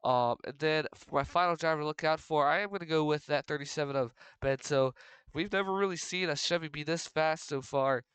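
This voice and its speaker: A man's voice: on the high side, a little fast, fairly bright tone, smooth, no room sound. A young man with a congested, stuffy voice, giving a rambling solo preview of a racing league's standings with lots of fillers and restarts. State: congested from a cold. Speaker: race commentator for a sim racing league. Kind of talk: solo race preview commentary.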